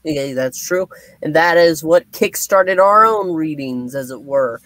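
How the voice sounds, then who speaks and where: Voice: medium pitch, a little slow, fairly bright tone. A puppet show host giving a casual, chatty sign-off on a livestream.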